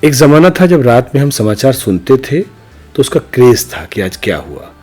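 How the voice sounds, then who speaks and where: A middle-aged man with a medium-pitched voice talks as an interview guest. He is reflective and opinionated, building his points with emphatic, rhetorical repetition. Faint music plays behind him. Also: sing-song, normal speed, darkish tone. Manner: emphatic, rhetorical, speaks in repeated parallel phrases. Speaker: interview guest, senior news journalist.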